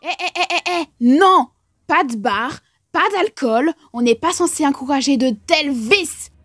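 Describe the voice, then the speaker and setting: A woman's voice: high voice, expressive, unhurried, a bit bright, no echo, faint music behind. A woman voicing a stern, protective young woman who scolds and warns.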